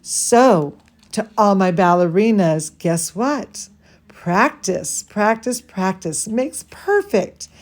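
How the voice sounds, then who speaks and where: Slow, highly animated woman reading a storybook. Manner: theatrical, gushing, drawn-out emphasis. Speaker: dance teacher reading a story to students. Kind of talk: expressive bedtime storybook reading for children.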